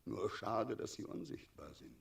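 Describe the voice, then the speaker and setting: A man's voice: fairly low pitch, expressive, unhurried, vocal fry, full character voice. An actor playing a cynical, tyrannical dragon in 1960s radio drama, sardonic and commanding as he savours each word. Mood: sardonic relish. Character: cynical, tyrannical male dragon ruling a town. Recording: 1960s radio recording, distant from microphone.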